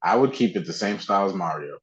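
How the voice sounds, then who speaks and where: A man's voice: sits low, expressive, fast talker, roomy sound. A man co-hosting a podcast in casual chat, recorded with a slightly dull, lo-fi sound. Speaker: podcast co-host. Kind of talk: casual podcast chat about pop culture.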